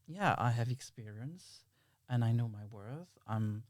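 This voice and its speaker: A man's voice: medium pitch, expressive, measured pace, dry recording, clean studio sound. Reflective male podcast guest. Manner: rambling, reflective, quotes imagined dialogue. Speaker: interview guest, filmmaker. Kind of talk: casual podcast interview.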